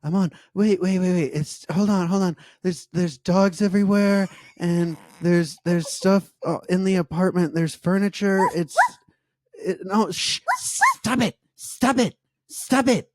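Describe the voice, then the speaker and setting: A man's voice: sits high, measured pace, no echo, studio quality. A man doing a flustered, stammering dad. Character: flustered father from New York.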